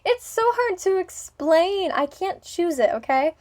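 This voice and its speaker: A young woman with a speech impediment rambling through a personal vlog, with many ums, pauses and restarts, moving between a smooth, businesslike tone and a playful one. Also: extremely high pitch, animated, a little mellow. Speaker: vlogger.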